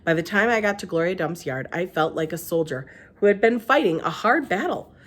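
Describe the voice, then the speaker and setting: A woman's voice: fairly low pitch, expressive, a little fast, a bit bright. A woman narrating a children's storybook aloud like a teacher reading to a class.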